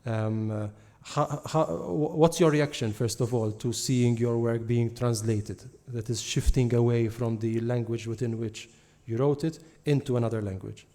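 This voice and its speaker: A man moderating a book launch interview, asking thoughtful, halting questions. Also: medium pitch, fairly even intonation, dark tone.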